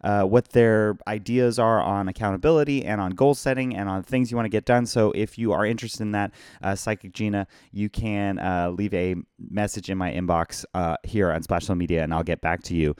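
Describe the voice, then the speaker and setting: Hoarse, tired man hosting a podcast. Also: lowish voice, moderate pace, a little mellow, no room sound, studio quality. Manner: rambling, chatty, thanks viewers by name. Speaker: podcast host. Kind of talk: casual live-stream chat. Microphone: phone.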